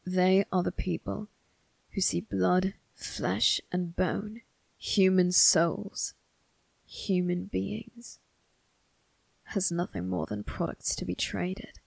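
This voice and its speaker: A woman voicing a brooding, cold but protective young male vampire crime boss in an ASMR roleplay, speaking formally and deliberately in a raspy, breathy voice that dips into whispers. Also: lowish voice, steady tone, a little mellow, no echo, exaggerated voice, low-quality recording. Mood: cold, menacing, bitter. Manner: deliberate, formal, menacing, drawn-out phrasing. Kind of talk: ASMR roleplay, dramatic monologue addressed to listener.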